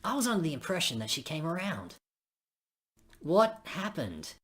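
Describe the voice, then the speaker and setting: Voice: medium pitch, wildly swooping pitch, moderate pace, fairly bright tone, husky, voice pushed up, heavily acted. A woman voicing a chatty, blokey young friend in a visual novel, teasing and full of Australian slang. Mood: chatty, puzzled.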